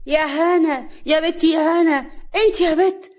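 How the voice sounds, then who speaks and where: A woman's voice: high voice, brisk, a little mellow, voice pushed up, close to an everyday voice. A woman voicing a scornful, domineering older mother-in-law in a story, scolding sarcastically in an Upper Egyptian accent. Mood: calling impatiently.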